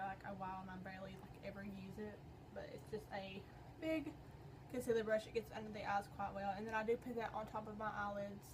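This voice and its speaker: A young woman with a slightly hoarse voice, chatting her way through a makeup tutorial in a rambling, filler-heavy way. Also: medium pitch. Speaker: beauty vlogger. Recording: noisy home recording.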